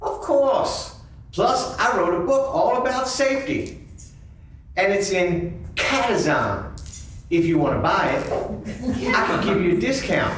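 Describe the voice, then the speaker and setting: A man playing a pushy, smooth-talking male cat in a live sock puppet show. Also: high voice, expressive, a little slow, pauses often, fairly bright tone. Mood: friendly, insinuating. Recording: echoey convention room, far mic, faint noise.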